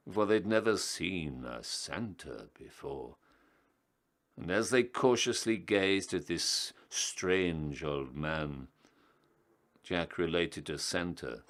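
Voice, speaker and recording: A man narrating storybook verse theatrically, with measured rhymes and strong emphasis. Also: low voice, fairly bright tone. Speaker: storyteller, town crier.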